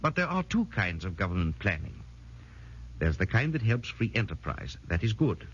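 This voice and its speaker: An actor playing an earnest foreign professor who lectures formally and emphatically in a 1940s radio drama. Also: male voice, lowish voice, sing-song, moderate pace, a bit bright, slight background noise. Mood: earnest, didactic. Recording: muffled 1940s radio broadcast.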